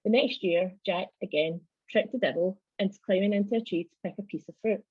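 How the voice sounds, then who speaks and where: Scottish woman, slightly low husky voice, wry storytelling, dry close sound, slightly dull video-call audio. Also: a little fast, no echo. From Scotland. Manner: wry, joking asides. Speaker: club member giving a presentation. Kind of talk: informal presentation of folk traditions and legends.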